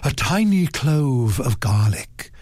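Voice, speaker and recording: A famous stage actress with a deep contralto, gushing and dreamy about her food, as a male narrator voices her. She speaks slowly, and the recording is close and dry. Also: sing-song, a little mellow, no echo. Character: famous dramatic actress, absent-minded and food-loving.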